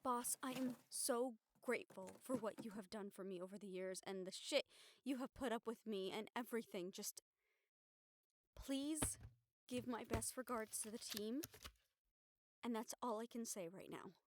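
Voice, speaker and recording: A woman's voice: fairly high pitch, normal speed, slight background noise, close to an everyday voice. A woman acting a weary, sardonic, stubborn young newspaper reporter in an intimate audio roleplay, talking in a casual, wry, sweary way. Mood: grateful, subdued.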